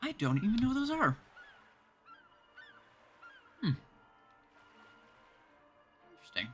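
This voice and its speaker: A man's voice: very high voice, huge pitch swings, slow, a bit bright, soft background music. A man with a Canadian accent, chatting casually as a livestream host and thinking aloud while he plays.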